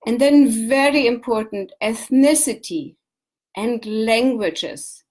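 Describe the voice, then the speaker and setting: A woman with a German accent hosts a live business talk show, her voice pitched a little high. She lays out her advice in lists and repeats key points as she teaches. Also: slow pace, a little mellow. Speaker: show host, business coach.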